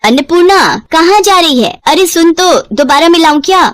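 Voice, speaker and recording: Female narrator as wealthy village madam, high voice, kindly, frank, brisk, advising, storybook cartoon. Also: a bit bright, no room sound. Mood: calling out. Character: kindly, frank rich village employer's wife.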